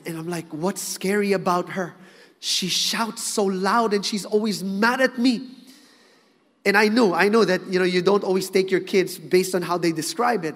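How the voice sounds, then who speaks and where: Animated high-voiced male preacher in a hall. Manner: animated, swings from loud emphasis to hushed. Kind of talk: sermon. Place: large hall with congregation. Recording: reverberant hall.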